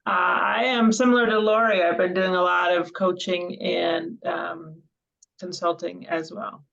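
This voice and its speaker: A woman's voice: fairly low pitch, level tone, unhurried, gravelly, fairly bright tone. A woman coach introducing herself on a video call in an upbeat way. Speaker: coach and consultant. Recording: muffled video call audio.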